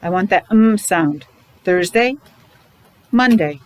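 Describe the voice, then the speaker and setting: A woman's voice: medium pitch, lively intonation, takes it slowly, no room sound. A middle-aged woman teaching young children in an interactive morning lesson, using simple repeated phrases, questions and sounded-out letters. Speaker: preschool or special education teacher leading morning meeting.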